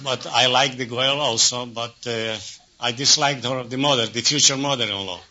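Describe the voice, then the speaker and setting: A man contestant on an old radio quiz, telling anecdotes in halting, earnest, non-idiomatic English. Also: medium pitch, steady tone, sharp tone. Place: radio studio. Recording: dull, noisy vintage radio broadcast.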